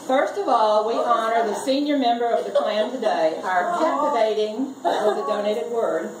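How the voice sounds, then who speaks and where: A woman reads a humorous script aloud in an amused way, pausing deliberately between phrases. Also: a little high. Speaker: family reunion speaker reading a comic Mad Lib aloud. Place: garage full of seated relatives. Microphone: camera a few meters away. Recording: distant camera mic, echoey garage, loud noise.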